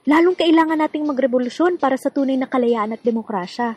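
A woman's voice: high-pitched, fast, darkish tone, husky, dry recording. A woman hosting a scripted radio drama, speaking in an emphatic, earnest way over music. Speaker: host and narrator of a radio drama series.

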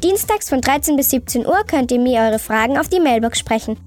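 A woman playing an excitable, chummy girl host of a scripted children's audio show, talking in exclamatory youth slang. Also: high-pitched, fairly quick. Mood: animated, chatty. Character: Sally, chirpy excitable cartoon-like girl host. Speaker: host answering young callers' questions.

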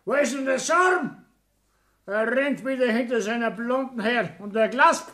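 An actor playing a stubborn, gruff, ailing older businessman with a Bavarian accent, grumbling bluntly in a 1960s radio play. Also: very high voice, measured pace, pushed and bright, full character voice. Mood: impatient, demanding. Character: stubborn, gruff ailing family businessman who denies his illness. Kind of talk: naturalistic 1960s German radio play acting.

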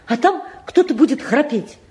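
A woman's voice: sits high, animated, moderate pace, warm tone. An actress playing an anxious middle-aged mother and housewife, agitated and pleading, in a mid-century Soviet radio-play style. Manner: agitated, pleading, repeats herself. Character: anxious, fretful mother and housewife, fussing over her sons.

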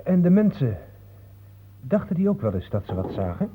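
A man's voice: fairly high pitch, big pitch swings, normal speed, narrow, tinny audio. Blunt man on noisy old recording. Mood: curious. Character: down-to-earth, curious male travel companion. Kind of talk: Dutch radio play acting.

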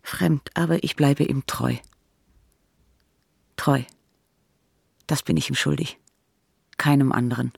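An actress playing a troubled woman who murmurs her inner thoughts in hushed fragments for a radio drama. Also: extremely low pitch, takes it slowly, gravelly, pauses often, slightly rough, no echo, studio quality, full character voice. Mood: brooding.

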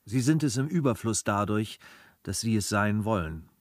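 An actor playing a dignified, forbearing gentleman traveller in a classical radio drama, speaking in a measured, earnest, formal way. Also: on the low side, lively intonation, dry recording, studio quality, lightly acted.

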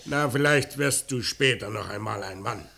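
Gravelly, sardonic police commissioner. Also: male voice, fairly low pitch, moderate pace, pushed and bright, exaggerated voice. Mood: gruff, mocking. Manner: brusque, commanding, mocking, fatherly stern. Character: gruff, sardonic male police commissioner grilling a youth. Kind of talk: mid-20th-century German radio crime drama acting.

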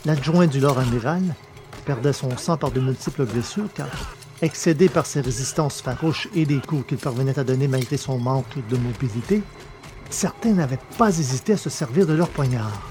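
A man narrating a science fiction adventure in a measured, dramatic storytelling manner. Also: mid-range voice, dark tone, soft background music. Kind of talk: scripted audiobook narration.

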